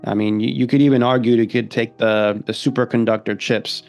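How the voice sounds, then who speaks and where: A man's voice: mid-range voice, fairly even intonation, brisk, darkish tone, soft background music. A man hosting a podcast, mostly agreeing and encouraging his guest in casual chat. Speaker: podcast host.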